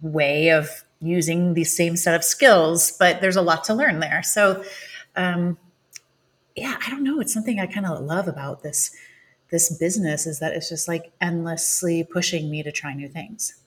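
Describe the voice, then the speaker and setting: A woman's voice: on the low side, moderate pace, a bit bright, slightly rough. A woman in her thirties, a stage actress speaking as a podcast guest, thoughtful and searching, with many fillers. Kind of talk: casual podcast interview.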